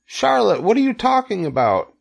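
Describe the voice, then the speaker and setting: A man's voice: sits high, sing-song, moderate pace, gravelly, voice pushed up, exaggerated voice. A man voicing an emotional young storybook pig who cries out and pleads desperately with his friends. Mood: anguished. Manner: crying out and pleading.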